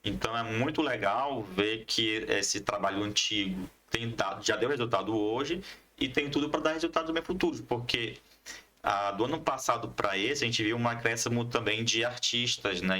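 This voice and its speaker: A man's voice: mid-range voice, moderate pace, pushed and bright. A man talking casually as an interview guest, explaining things with enthusiasm. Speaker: podcast guest, comics collective member and teacher.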